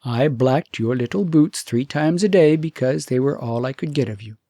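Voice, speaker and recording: Male narrator as young fairy-tale prince, resolute and blunt, curt orders, slightly gravelly, dry sound. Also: medium pitch, moderate pace, mellow, dry recording, lightly acted.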